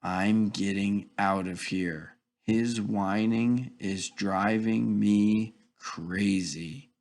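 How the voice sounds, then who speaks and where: A man's voice: fairly low pitch, fairly even intonation, extremely slow, darkish tone. A man voicing a weary animal companion in a children's book, muttering dry, grumbling asides. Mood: exasperated.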